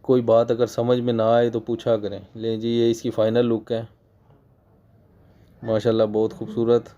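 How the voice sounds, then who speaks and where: A hoarse man with a Pakistani Urdu accent guides viewers step by step at his sewing machine, repeating key points patiently. His voice is mellow and dark, with frequent throat-clearing. The recording sounds dull and lo-fi. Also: mid-range voice, monotone, moderate pace. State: hoarse from a cold. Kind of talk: casual tutorial voice-over.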